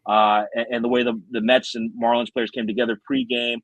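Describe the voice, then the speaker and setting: A man's voice: medium pitch, level tone, fairly quick, dry recording, poor audio. American man telling stories, dry close sound. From South Florida, United States. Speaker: podcast guest, former baseball radio announcer. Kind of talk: conversational storytelling.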